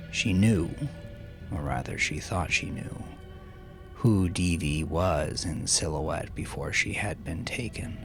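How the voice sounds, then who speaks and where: A man narrating dark dramatic fiction in a brooding, theatrical way, with breathy hushed phrase endings. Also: low voice. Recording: music behind the voice. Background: music.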